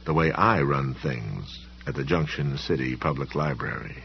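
A man voicing a polite, condescending woman librarian in an audiobook story, with a smooth, quietly menacing manner. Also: a bass voice, moderate pace, highs cut off, slight background noise, pitched below the performer's own voice. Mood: coolly condescending. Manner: smooth, coolly dismissive, faintly patronizing.

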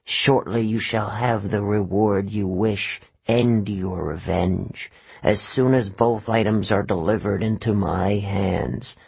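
A man voicing a cold, scornful monster from the deep. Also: fairly even intonation, gravelly, darkish tone, slightly rough, dry recording, full character voice. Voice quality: cold, slow, commanding. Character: menacing ancient male monster in a pit, scornful. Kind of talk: audiobook character voice.

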